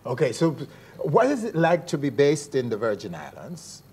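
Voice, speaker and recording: A man's voice: mid-range voice, wildly swooping pitch, normal speed, darkish tone, slightly rough. A man in his forties hosting a studio interview, asking friendly, upbeat questions. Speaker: TV show host. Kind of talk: TV entertainment interview. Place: TV studio set.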